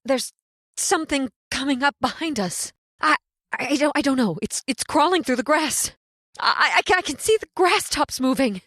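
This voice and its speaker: A woman's voice: sits high, lively intonation, moderate pace, a bit bright, no room sound, studio quality. An actress playing a fatalistic, tearless woman lost in prehistoric time, grave and dramatic in old-time radio horror style. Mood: frightened, alert.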